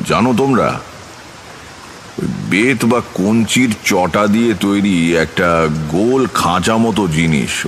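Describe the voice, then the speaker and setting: A man narrating a scripted horror tale in the first person, in a measured, literary manner. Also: lowish voice, a little hiss or hum. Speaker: storyteller and lead narrator.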